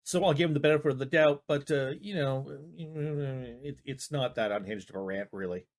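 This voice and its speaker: A man's voice: medium pitch, moderate pace. A man giving casual reaction commentary, rambling and hedging with frequent restarts. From Canada. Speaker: YouTube commentator.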